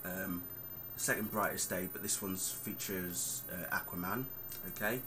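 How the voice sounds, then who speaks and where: A man's voice: deep voice, fairly even intonation, measured pace. A man, chatting casually and rambling on a vlog with plenty of fillers. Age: 30s. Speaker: vlogger. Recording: clear background noise.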